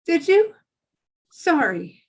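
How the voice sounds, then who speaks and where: A woman's voice: very high-pitched, big pitch swings, drawn-out words, heavily acted. A woman playing a kindly, apologetic, welcoming church woman in a dramatic script reading.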